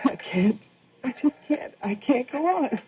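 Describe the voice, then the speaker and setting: A woman playing a fretful housewife and mother barely holding herself together in a radio drama, anxious, halting and pleading. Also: high voice, animated, slight background noise. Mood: despairing. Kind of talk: old-time radio drama acting. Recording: tinny narrow-band old radio recording.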